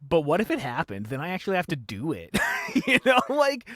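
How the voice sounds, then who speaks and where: A man's voice: a little high, wildly swooping pitch, a little fast, a bit bright. A man in his thirties hosting a podcast, chatting casually, laughing often and swearing freely. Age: 30s. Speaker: podcast host. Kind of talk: casual chat.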